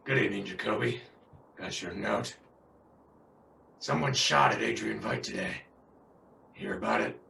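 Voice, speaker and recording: A man playing a grim masked vigilante in a comic drama, growling in clipped, terse fragments with a gravelly voice. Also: on the low side, big pitch swings, measured pace, pauses often, bright, forceful tone, roomy sound, far from the mic, slight background noise, heavily acted. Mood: menacing. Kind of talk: dramatized comic adaptation acting.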